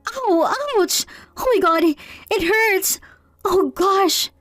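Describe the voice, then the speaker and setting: Woman acting a high, whining young woman in pain. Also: lively intonation, extremely slow, a bit bright, dry recording, faint music behind, exaggerated voice. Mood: panicked, pained. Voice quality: high, strained. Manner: whimpering, exclaiming. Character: pained, whining young woman, shrieking and helpless.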